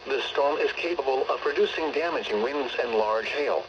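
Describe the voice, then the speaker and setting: A synthetic male text-to-speech voice reading scripted emergency weather bulletins over the radio in a formal, measured way. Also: medium pitch, highs cut off, noisy background. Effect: weather radio broadcast. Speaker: automated weather radio announcer.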